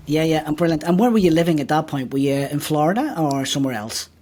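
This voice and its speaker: A man's voice: a little high, lively intonation, fast, dark tone, slight background noise. Male podcast host: friendly interviewer, lots of encouraging replies. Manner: friendly, brief encouraging backchannels.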